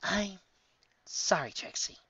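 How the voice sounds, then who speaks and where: Earnest young mare, voiced by a man, soft, halting, raised in pitch, storybook character, slightly dull recording. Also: lively intonation, husky, no echo, recorded from a distance, full character voice. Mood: apologetic.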